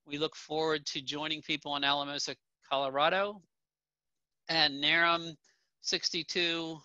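Bright-voiced man hosting a video call. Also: a little high, flat delivery, moderate pace, clear, resonant tone, dry recording. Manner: plain-spoken, with frequent ums and restarts. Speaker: association president presenting at a town hall. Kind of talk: presenting slides at an online town hall. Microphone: video call webcam. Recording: dull, dry video call audio.

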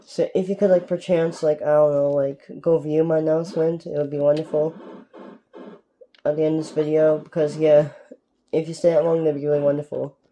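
A young man from Canada giving rambling, casual gameplay commentary with a sore, strained throat, recorded on a phone filming a TV in a dark room at home. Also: a little high, level tone, fairly quick, a little hiss or hum, rough recording. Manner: rambling, keeps asking "alright?". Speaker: gaming YouTuber.

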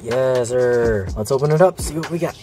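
A man's voice: medium pitch, moderate pace, music in the background. A young man unboxing a gift package at his desk, swearing and laughing as he goes. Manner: excitable, swearing, laughing often. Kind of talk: casual unboxing vlog. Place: indoors at a desk.

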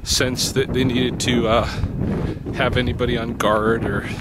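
A middle-aged man talking casually outdoors into a close camera, halting and reflective, with long pauses as he thinks aloud. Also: medium pitch, little pitch movement. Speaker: vlogger on a night hike. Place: outdoors at night, open field. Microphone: camera held close to face. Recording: windy outdoor camera mic, noisy. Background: wind noise.

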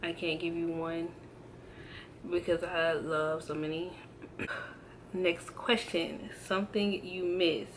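A woman in her early twenties chatting casually to camera, answering a list of questions in a relaxed, hesitant way with many pauses and restarts. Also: on the low side, a little hiss or hum. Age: 20s. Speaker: vlogger. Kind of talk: casual tag video chat. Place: indoors at home, in front of a backdrop. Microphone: camera framed close, seated.

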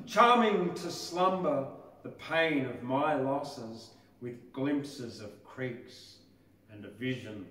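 Older male poetry reader, slow and declamatory, roomy distant sound. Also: on the high side, a bit bright. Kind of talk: poetry recitation with spoken introduction. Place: mural-backed wooden stage area. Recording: roomy echo, distant microphone.

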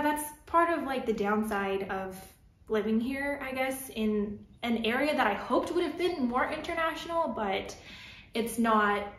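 A woman's voice: on the high side, roomy sound. A young woman from Toronto with a Canadian accent, chatting casually to the camera from her living-room sofa with lots of "like" and "um". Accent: Canadian English. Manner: chatty, lots of "like" and "um", gestures while talking. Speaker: vlogger. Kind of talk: casual vlog talking to camera.

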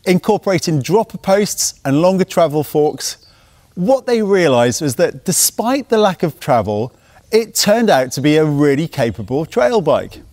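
A young man narrating a scripted mountain bike review in a brisk, technical, matter-of-fact way, speaking outdoors in woodland on a lapel mic. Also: a little high, very animated, darkish tone. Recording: faint music underneath.

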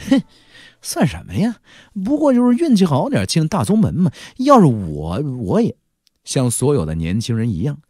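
A man's voice: mid-range voice, lively intonation, fairly quick, few pauses, dark tone, no echo, clean studio sound, soft background music, natural voice. A man voicing an envious young cultivator, cocky, grudging and sneering, for an audiobook. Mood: sneering envy. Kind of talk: audiobook character voice.

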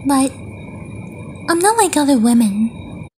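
A woman playing a sweet, affectionate young woman in a romantic ASMR roleplay, speaking gently and hesitantly with long pauses. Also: high voice, very animated, a little hiss or hum, full character voice. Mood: tender, shy. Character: sweet, affectionate young woman confessing love to her crush.

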